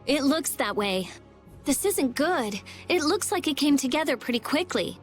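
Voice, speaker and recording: An actress voicing a brainy, blunt teenage girl scholar in a video game, brisk and matter-of-fact. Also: high-pitched, expressive, faint music behind. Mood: focused.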